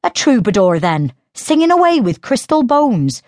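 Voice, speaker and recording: A woman voicing a sharp-tongued young sister with mocking, quick retorts. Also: a little high, big pitch swings, dry recording, clean studio sound, pitched above the performer's own voice. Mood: teasing.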